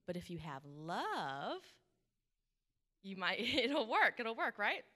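A young woman giving a children's message in a church sanctuary, animated and encouraging, asking questions into a handheld microphone. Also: mid-range voice, takes it slowly. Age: young adult. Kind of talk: children's sermon, interactive talk. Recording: reverberant church hall, live PA.

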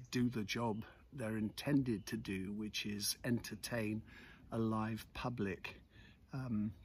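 An older British man in his sixties with a fairly low voice talks casually to camera. His delivery is chatty and reflective, with some hesitancy. He is recorded on a phone in the open air, with faint ambient noise around him. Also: a bit bright. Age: 60s. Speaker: vlogger. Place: outdoors in a village churchyard. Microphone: phone on selfie-stick tripod, about arm's length.

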